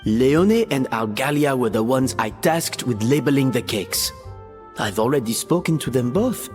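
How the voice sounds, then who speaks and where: An actor playing a composed restaurant manager who speaks in a measured, explanatory way. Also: male voice, medium pitch, lively intonation, a little mellow, close to an everyday voice. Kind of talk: dubbed game dialogue. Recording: game audio, reverb, music under.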